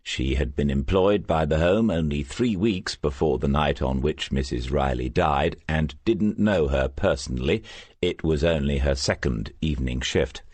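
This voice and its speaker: Very deep, husky male narrator. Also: animated, moderate pace, a little mellow, dry recording. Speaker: audiobook narrator. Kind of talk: scripted crime fiction narration.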